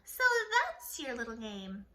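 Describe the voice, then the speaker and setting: A woman's voice: a little high, wildly swooping pitch, a little slow, pushed and bright, full character voice. A woman voicing a stern, strict woman teacher with a scratchy voice who barks orders. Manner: barking, bossy commands.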